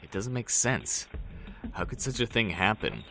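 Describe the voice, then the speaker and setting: A man's voice: on the low side, sing-song, unhurried, gravelly, heavily acted. A man playing a brooding, doubtful ice hero in a dramatized fantasy audiobook, guarded and pondering.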